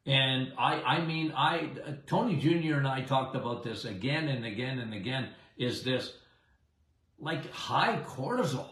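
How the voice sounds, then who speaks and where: A middle-aged man with a big voice and a slight Canadian accent talks at an unhurried pace, rambling and chatty, often checking in with his listeners. The recording has a roomy echo around him. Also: mid-range voice, expressive, fairly bright tone. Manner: chatty, rambling, says "okay?" and "guys" often. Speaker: health show host. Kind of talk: casual live health talk.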